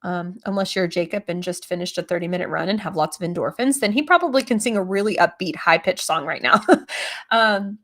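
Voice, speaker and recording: A young adult woman chatting casually on a livestream, rambling with many fillers, her voice lower than usual today. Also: fairly quick, fairly bright tone. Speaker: streamer answering chat.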